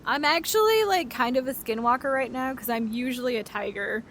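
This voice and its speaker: A woman's voice: high voice, a little fast, fairly bright tone, slight background noise. A young adult woman streaming live, chatting casually and giggling often. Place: virtual reality convention, talking from home.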